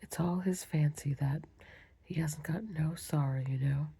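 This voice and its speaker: A gruff male gryphon from a bedtime storybook, whispered by a reader doing the voice, blunt and impatient, dropping his aitches. The whisper is dark and grainy, with a husky, gravelly edge. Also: mid-range voice, unhurried, exaggerated voice. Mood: dismissive. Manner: dropped aitches, rough ungrammatical speech.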